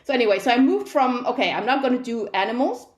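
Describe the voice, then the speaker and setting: A middle-aged woman who grew up in Italy, a medical professor talking through her path in a relaxed interview, with thoughtful restarts. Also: a little high, quick pace. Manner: reflective, frequent restarts and quoted inner thoughts. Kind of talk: conversational interview. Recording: echoey room.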